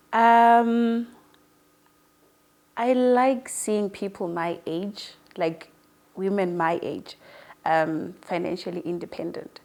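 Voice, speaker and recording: A woman's voice: mid-range voice, steady tone, very slow, vocal fry, pauses often, darkish tone. A woman in her early twenties talking casually as an interview guest, telling personal stories in a chatty way. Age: early 20s. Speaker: newly appointed online show presenter being interviewed.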